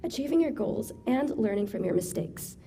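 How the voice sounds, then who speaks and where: Raspy young woman giving a graduation speech. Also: mid-range voice, lively intonation, normal speed, mellow. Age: young adult. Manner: earnest, encouraging, reads a prepared speech. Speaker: valedictorian student speaker.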